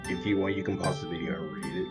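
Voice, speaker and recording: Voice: low-pitched, steady tone, fast. A gaming host giving casual gameplay commentary in a hesitant, rambling way. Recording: loud game music behind.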